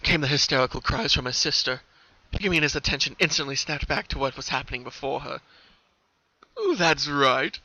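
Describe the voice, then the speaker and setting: A man's voice: mid-range voice, steady tone, quick pace, few pauses, pushed and bright, dry recording. A man giving a dramatic scripted narration as a fan fiction reader. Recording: dull, muffled home recording.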